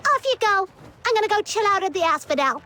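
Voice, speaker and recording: A woman's voice: very high-pitched, lively intonation, quick pace, sharp tone, heavily acted. A woman voicing a brash, easily irked young woman in a video game, teasing and huffy in slangy phrasing.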